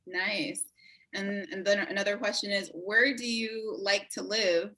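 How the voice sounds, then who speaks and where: Lady doing the interview, bright clear tone, lots of "great, exactly". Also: mid-range voice, flat delivery. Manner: encouraging, quick affirmations. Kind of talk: interview host.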